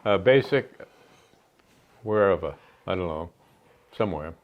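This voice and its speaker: Older New England man, deep, rambling. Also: animated. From New Hampshire. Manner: rambling, halting with many fillers. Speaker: Army veteran guest. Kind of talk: interview. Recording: dull lo-fi sound.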